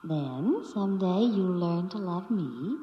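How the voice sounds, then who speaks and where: A lovesick female spaceship robot coos slowly and possessively in long reverb. It is romantic, coaxing 1950s radio drama, with noise on the old recording. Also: fairly low pitch, lively intonation, muffled recording. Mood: loving, resolute.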